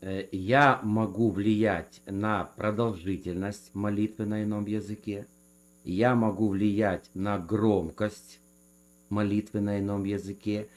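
A man in a theological debate who speaks in a measured way and quotes scripture to make his case. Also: fairly low pitch, flat delivery. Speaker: guest defending speaking in tongues.

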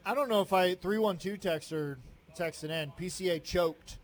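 A man co-hosting a sports radio show from a bar, talking in a loud, preacher-like voice with joking banter. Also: high voice, normal speed, crowd noise. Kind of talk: casual sports talk. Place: sports bar remote broadcast. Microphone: headphones and studio mic.

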